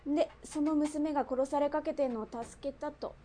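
An actress voices a brash gang boss woman in a radio drama. Her voice sits fairly high, yet her wording is blunt and mannish. She teases like someone used to command, with faint ambience behind her. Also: fairly even intonation, moderate pace, warm tone, slight background noise, natural voice. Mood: teasing, skeptical. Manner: blunt, mannish speech, teasing. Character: brash, teasing female Taiwanese underworld gang boss. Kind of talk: radio drama acting, conversational.

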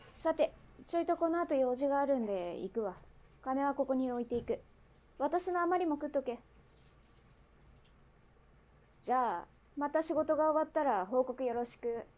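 A woman's voice: high voice, expressive, moderate pace, darkish tone. A woman acting in a radio drama as a brash underworld gang boss, with blunt, mannish, teasing speech. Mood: breezy.

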